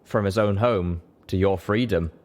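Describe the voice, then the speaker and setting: A man's voice: low-pitched, unhurried, a little mellow. A man reading first-person fiction aloud as a dramatic story narrator. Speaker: story reader.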